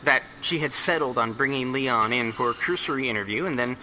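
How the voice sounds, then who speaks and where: A man playing an excitable, hungover rambler in an audio drama monologue, stammering, repeating and correcting himself as he confides. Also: medium pitch, a little hiss or hum, rough recording. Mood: earnest, agitated. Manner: halting, repetitive, self-correcting rambling.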